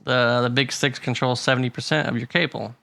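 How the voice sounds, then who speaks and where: A man's voice: mid-range voice, steady tone, a little fast, gravelly, bright, forceful tone, no room sound. A man co-hosting a casual podcast chat, reading figures aloud and laughing often. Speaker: podcast co-host.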